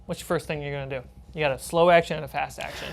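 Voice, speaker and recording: A woman's voice: deep voice, steady tone, very fast, vocal fry, soft background music. A woman narrating a tabletop role-playing game as game master.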